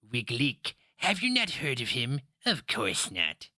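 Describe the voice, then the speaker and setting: A man voicing a canny old matriarch, husky, scolding and mocking, in a fantasy story reading. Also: mid-range voice, huge pitch swings, takes it slowly, sharp tone, no echo, clean studio sound, voice pushed up, exaggerated voice. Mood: lecturing, scornful. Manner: scolding, rueful, teasing.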